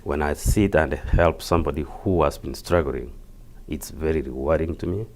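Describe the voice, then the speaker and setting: A man with a Kenyan accent explaining things as an interview guest, haltingly and repeating words. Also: extremely low pitch, a little mellow. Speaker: interview guest, community health project worker.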